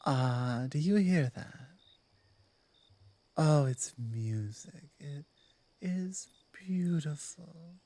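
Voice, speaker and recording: A woman playing a werewolf pack leader in an intimate ASMR roleplay, speaking haltingly and deliberately, dominant but softening and earnest. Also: sits low, animated, full character voice. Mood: hushed, shaken. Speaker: audio roleplay performer.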